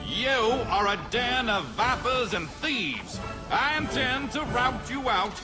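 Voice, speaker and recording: An actor voicing a defiant old general turned president in a cartoon, forceful and declamatory. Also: male voice, very high-pitched, big pitch swings, takes it slowly, heavily acted. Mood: furious. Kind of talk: animated cartoon oratory. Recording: music behind.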